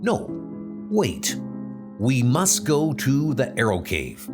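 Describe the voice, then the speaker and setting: Man acting a grown superhero archer, earnest, resolute, measured pace, medium pitch, comic dub. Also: huge pitch swings, music in the background. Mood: shaken, resolute.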